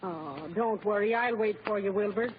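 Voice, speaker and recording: A woman's voice: mid-range voice, normal speed, close to an everyday voice. A woman playing a devoted, motherly wife who fusses over and reassures her husband in old-time radio comedy. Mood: soothing, devoted. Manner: reassuring, fussing, emphatic. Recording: tinny, noisy old radio broadcast.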